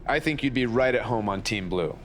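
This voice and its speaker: A man's voice: medium pitch, animated, a little fast, slight background noise, lightly acted. A man playing a friendly but firm wrestling show boss in video game dialogue, plain-spoken like a mentor. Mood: firm, welcoming.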